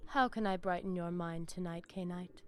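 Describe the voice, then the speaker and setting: An actress voicing a composed female vampire devoted to ritual in a video game, with formal, measured phrasing. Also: lowish voice, fairly even intonation, close to an everyday voice. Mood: courteous. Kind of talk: scripted video game dialogue.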